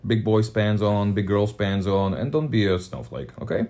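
A man's voice: lowish voice, expressive, moderate pace, warm tone. A man co-hosting a podcast with casual, rambling, jokey banter. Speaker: podcast co-host.

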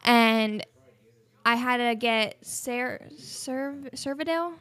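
Young female co-host, twenties, frank and chatty, slightly high voice. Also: drawn-out words, a bit bright. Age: 20s. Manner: candid, laughing, chatty storytelling. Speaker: podcast co-host. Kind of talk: casual chat.

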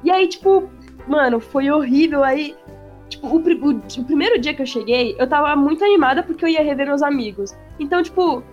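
A woman with a São Paulo accent chatting casually, full of slang and swearing, speeding up when she gets excited. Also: sits high, brisk, highs cut off, faint music behind. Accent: São Paulo Portuguese. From São Paulo, Brazil. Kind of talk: casual chat.

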